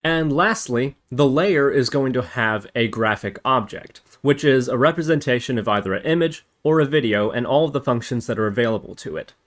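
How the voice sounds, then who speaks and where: A man's voice: mid-range voice, animated, fairly quick, few pauses. Methodical man narrating a coding tutorial. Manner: methodical, step-by-step explaining. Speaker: tutorial host. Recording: noisy, with keyboard typing and clicks. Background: computer keyboard typing and mouse clicks.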